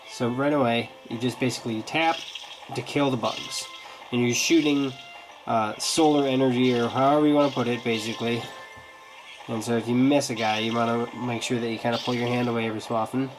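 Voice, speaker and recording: A man's voice: mid-range voice, rough recording. A man with a stuffed-up, nasal voice from a cold gives a casual, meandering review commentary on a mobile game. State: congested, getting over a cold. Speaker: app reviewer, host. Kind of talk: casual review commentary over a gameplay demo.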